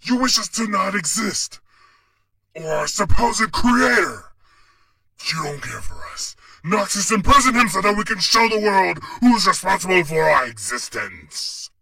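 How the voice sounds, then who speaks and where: Cloaked monster tyrant for audio drama: vengeful, harsh and forceful, raspy, dry close sound. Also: male voice, high-pitched, big pitch swings, no echo, voice pushed up, full character voice, poor audio. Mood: angry, accusing. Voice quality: raspy, gravelly. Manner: menacing, forceful, drawn-out delivery.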